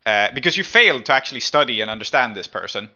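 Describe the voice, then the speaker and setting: A man's voice: medium pitch, expressive, fast talker, bright, forceful tone, lo-fi sound. A man acting as game master in a tabletop roleplaying game, explaining rulings and narrating in a conversational way.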